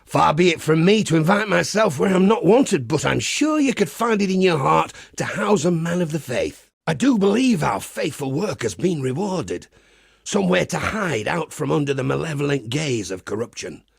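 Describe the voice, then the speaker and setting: A man voicing a pious, wry crusader priest in a video game, with a husky, gravelly voice and a measured, folksy preacher's manner. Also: on the high side, no echo, clean studio sound, exaggerated voice. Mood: coaxing, reverent. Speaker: game character. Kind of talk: scripted game character monologue.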